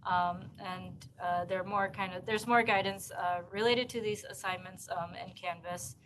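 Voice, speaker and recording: A woman giving course announcements as an instructor in a seminar room, speaking in a halting, hesitant way. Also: mid-range voice, fairly even intonation, a bit bright. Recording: noisy room recording.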